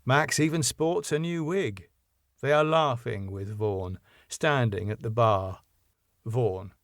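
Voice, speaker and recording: A man narrating the stage directions of a sitcom script in a plain, measured way. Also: medium pitch, dry recording, clean studio sound.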